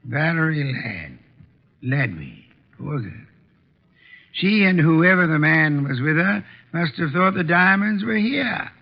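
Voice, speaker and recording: Grand pompous detective, raised pitch, deliberate theatrical phrasing, vintage radio drama, dull sound. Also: male voice, big pitch swings, creaky, heavily acted. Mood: smug, musing. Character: pompous, imperious, brilliant large male detective. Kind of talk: 1940s-50s radio acting. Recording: muffled old radio recording.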